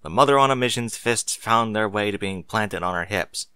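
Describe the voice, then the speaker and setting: A man reading a story aloud as narrator, in an expressive scripted style. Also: lowish voice, normal speed, smooth, no room sound.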